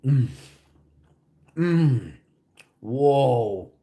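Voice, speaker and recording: A man's voice: mid-range voice, expressive, extremely slow, warm tone. A man live-streaming, chatting casually with his viewers and answering their questions between bites of food.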